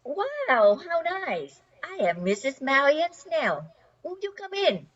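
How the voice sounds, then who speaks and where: A woman's voice: sits high, wildly swooping pitch, slow pace, full character voice. An older woman playing a polite, confident new neighbor in her thirties in an amateur radio play, on a muffled, noisy recording. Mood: polite, pleased. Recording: muffled, noisy line with clicks.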